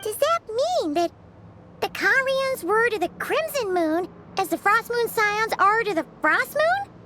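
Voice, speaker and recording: A tiny excitable fairy companion from a video game. Her voice sits extremely high and leaps up and down in a lively, squeaky way. She refers to herself in the third person, and a noticeable hiss runs under the recording. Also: a little slow, full character voice. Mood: curious.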